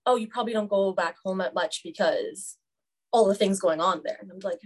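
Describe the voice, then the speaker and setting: A woman's voice: medium pitch, fairly quick. A young woman talking as a student guest in a casual podcast roundtable, speaking candidly with a lot of "like" fillers. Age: young adult. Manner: candid, chatty, many "like" fillers.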